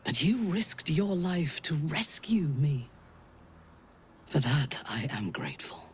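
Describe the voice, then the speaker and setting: Slow, proud dark elf commander. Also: female voice, low voice, big pitch swings, slight background noise, heavily acted. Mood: solemn gratitude. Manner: haughty, measured, formal. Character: proud, cold female drow commander, betrayed exile. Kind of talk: video game character acting.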